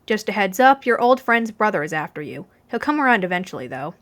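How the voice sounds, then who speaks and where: Woman playing a young male mafioso, stern, gently reassuring, audiobook fan fiction character. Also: mid-range voice, sing-song, fairly quick, natural voice. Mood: matter-of-fact.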